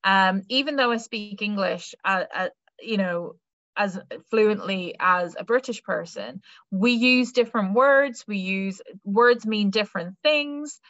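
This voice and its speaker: A woman's voice: mid-range voice, unhurried, fairly bright tone, no room sound. A young woman with an Irish accent, giving an online careers talk in a conversational way with many fillers and restarts. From Ireland. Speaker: careers adviser. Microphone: webcam or laptop mic on video call. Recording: slightly dull lo-fi audio.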